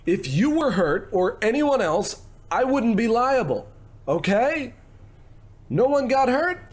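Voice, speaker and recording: A man's voice: very high-pitched, sing-song, full character voice. A man playing a slick, pushy, glib producer who sweet-talks like a salesman in an old-time radio comedy. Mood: brash, flippant. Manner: fast-patter salesman, gushing pet names.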